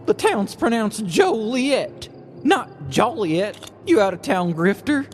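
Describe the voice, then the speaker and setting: Man doing a drawling small-town sheriff, high and bouncy, theatrical. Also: big pitch swings, slow pace, a little hiss or hum, full character voice. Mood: stern. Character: gruff small-town male sheriff and gossip.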